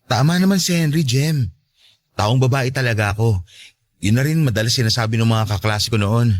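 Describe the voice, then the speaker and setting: A man playing a gentle, effeminate, apologetic boyfriend in a radio drama, mild and conciliatory. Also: mid-range voice, normal speed, natural voice. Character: gentle, soft-mannered, apologetic boyfriend, effeminate, eager to please. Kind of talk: Tagalog radio drama acting.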